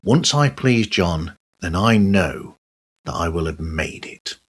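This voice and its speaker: A middle-aged man giving a conversational solo podcast monologue in measured, halting short phrases. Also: deep voice, lively intonation, slightly rough. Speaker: podcast host.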